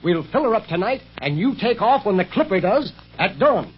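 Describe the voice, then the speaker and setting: A man's voice: high voice, big pitch swings, normal speed, over loud music. A man playing a level-headed secret police agent in a 1930s radio serial, earnest and clipped. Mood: confident, urgent. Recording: muffled old 1930s radio recording.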